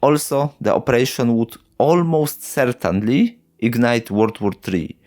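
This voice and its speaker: A man's voice: medium pitch, animated, darkish tone. A man hosting a history video, English not his first language, narrating with wry, sarcastic asides. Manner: slow, wry, sarcastic, with short chuckles. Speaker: history channel host.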